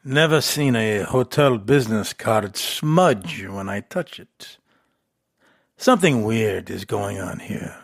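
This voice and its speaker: A man playing an unpredictable, coolly menacing assassin in an audio drama, speaking in a deliberate, wry and taunting way. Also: lowish voice, animated, creaky, heavily acted. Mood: coolly suspicious. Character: unpredictable male international assassin with lucky dice, coolly menacing.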